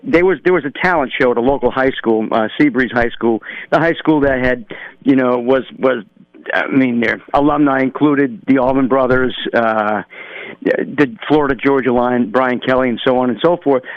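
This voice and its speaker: A man's voice: medium pitch, normal speed, no room sound. A man from New Hampshire, a drummer calling in to a radio interview, telling long stories loosely with many fillers. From New Hampshire, USA. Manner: rambling, relaxed storytelling with many fillers. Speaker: musician guest, drummer. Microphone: telephone. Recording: dull telephone line.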